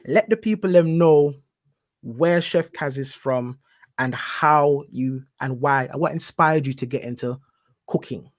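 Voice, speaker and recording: A man hosting a relaxed interview series. He speaks in a fairly high voice and sounds lively, repeating words for emphasis. The sound is close and dry. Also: measured pace, no room sound. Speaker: host.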